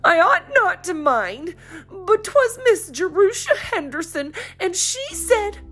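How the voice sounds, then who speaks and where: A woman's voice: lively intonation, unhurried, exaggerated voice. Woman doing a tearful young girl. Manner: high, crying, breathless pleading. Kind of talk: audiobook character voice.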